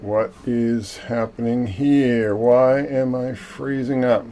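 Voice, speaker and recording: A man hosting a livestream in casual chat, a self-described loudmouth with a big loud voice who jokes and greets viewers by name. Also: medium pitch, steady tone, slow, slight background noise. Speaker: livestream host.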